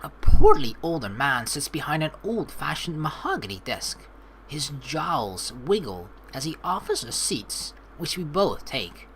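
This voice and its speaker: Low-voiced, animated woman gamer. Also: unhurried, slight background noise, rough recording. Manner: animated, informal, chatty asides. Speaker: let's play host. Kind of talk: gameplay commentary, reading game text aloud.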